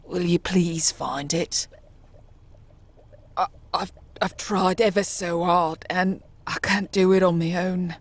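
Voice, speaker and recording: A woman's voice: lowish voice, steady tone, slow. A woman voicing an eccentric, menacing, ancient street crone in a comic dub, rambling and cajoling with old-fashioned endearments. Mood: pleading. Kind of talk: comic dub character acting.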